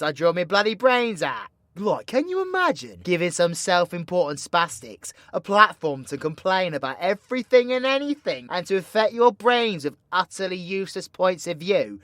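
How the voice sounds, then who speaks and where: A man's voice: high voice, a bit bright, dry recording, studio quality. A man playing a cocky, foul-mouthed, playfully teasing supervillain mentor in an audio roleplay, with a British accent and a slangy, swaggering, theatrical delivery. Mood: sardonic. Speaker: voice actor. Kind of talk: villain ASMR audio roleplay, addressing the listener.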